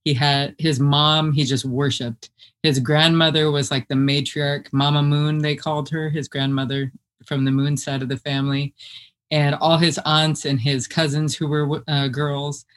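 A woman from the US Midwest with a deep voice, telling her story as an interview guest in a lively, chatty way and laughing as she talks. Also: measured pace.

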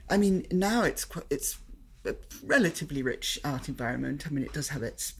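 A woman interviewer with a very low voice that has a husky grain. She asks her questions in a sympathetic, chatty way and often trails into follow-up thoughts. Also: expressive, fairly quick.

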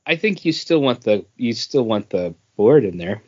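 A man speaking as a podcast co-host in a relaxed chat format. His intonation is animated and varied, at an average pitch. The sound is slightly dull. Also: moderate pace, no echo. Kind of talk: casual chat about comic books.

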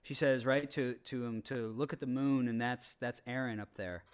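A man in casual, opinionated podcast talk, halting and rambling, with frequent swearing. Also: medium pitch, fairly even intonation, clear, resonant tone. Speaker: podcast panelist.

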